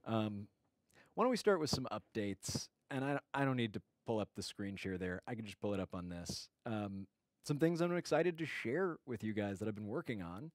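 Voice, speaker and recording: A man's voice: on the low side, big pitch swings, moderate pace, no echo. A man hosting a casual livestream chat, greeting viewers and rambling with many fillers and restarts. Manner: greets viewers by name warmly. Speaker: livestream host.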